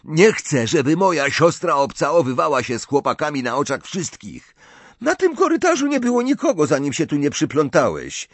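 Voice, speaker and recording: A hot-headed teenage boy, acted by a male narrator, with a raised, very animated voice. He is blustering, blunt and indignant, a protective older brother from a storybook, recorded dry. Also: mid-range voice, slow pace, creaky, hardly any pauses, husky, no echo, voice pushed up, exaggerated voice.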